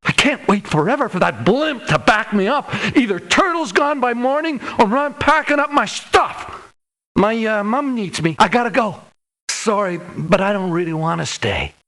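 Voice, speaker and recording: A woman's voice: mid-range voice, lively intonation, normal speed, a bit bright. An actress voicing an anxious, earnest young mercenary woman in a video game, with an emotional, hesitant delivery. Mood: distressed. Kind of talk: video game character voice lines.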